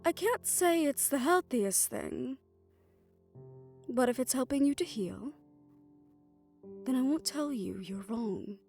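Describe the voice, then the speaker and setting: A woman playing a stern, protective female doctor who is softly fond of her listener, speaking in a measured, formal, faintly haughty way in an audio roleplay. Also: high-pitched, animated, gravelly, soft background music, exaggerated voice. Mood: gentle, reflective. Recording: roomy echo.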